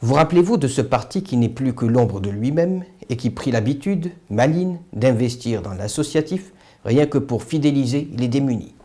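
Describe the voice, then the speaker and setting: Mellow-voiced man, formal commentary. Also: mid-range voice, lively intonation, moderate pace. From Morocco. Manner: formal, literary, rhetorical questioning. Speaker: political commentator, video host. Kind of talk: scripted opinion monologue.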